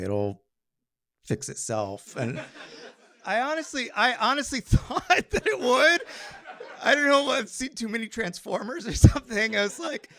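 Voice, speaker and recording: Guy doing live storytelling, kinda high voice, really swoopy and animated, conversational w comic timing. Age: adult. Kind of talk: live personal storytelling on stage.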